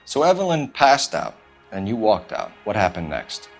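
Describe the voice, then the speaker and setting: A man's voice: on the low side, wildly swooping pitch, normal speed, creaky, soft background music, heavily acted, rough recording. An American man voicing a stern, accusing young police detective in a crime drama video game, clipped in his questioning. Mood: probing. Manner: clipped, forceful interrogator.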